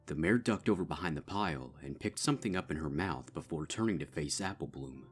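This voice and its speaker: Very deep-voiced male narrator. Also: expressive, soft background music. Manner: measured, grave storytelling. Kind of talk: dark fanfic audiobook narration.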